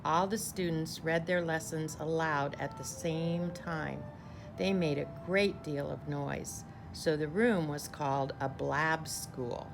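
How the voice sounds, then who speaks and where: Female storybook reader, low register with gravelly creak, deliberate phrasing, clear music bed underneath. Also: fairly even intonation. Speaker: teacher reading a picture book aloud. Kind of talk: storybook read-aloud.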